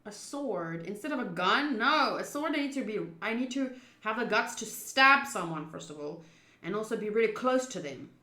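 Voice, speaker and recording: A young woman with an expressive, chatty manner, reading a visual novel aloud and commenting as she plays. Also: mid-range voice, moderate pace. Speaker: streamer playing a visual novel. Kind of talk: gaming commentary, reading story text aloud. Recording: roomy, echoing voice.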